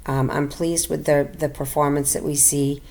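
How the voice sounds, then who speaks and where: A middle-aged woman narrating a personal finance video as a voiceover, slightly raspy from illness, halting with many ums and restarts. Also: sits low, level tone, darkish tone. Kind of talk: casual voiceover narration over a screen recording. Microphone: home voiceover mic.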